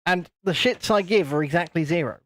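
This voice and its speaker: A man with a naturally high-pitched voice, hosting a casual livestream and cheekily bantering while reading out chat comments. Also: a little fast, a bit bright, no echo, studio quality. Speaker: host of a building livestream.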